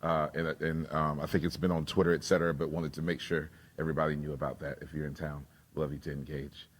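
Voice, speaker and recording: Deep male moderator, halting announcements, reverberant hall. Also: little pitch movement, husky. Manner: halting, many fillers and restarts. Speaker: session moderator. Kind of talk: conference announcements. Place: large conference hall. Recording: long reverb in a large hall.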